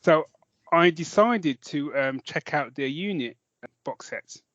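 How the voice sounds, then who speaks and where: A man's voice: a little high, lively intonation, takes it slowly, a bit bright, dry recording, muffled recording. A man co-hosting a podcast in casual chat, jokey and self-mocking, with some swearing. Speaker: podcast co-host. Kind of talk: casual chat, recommending audio dramas.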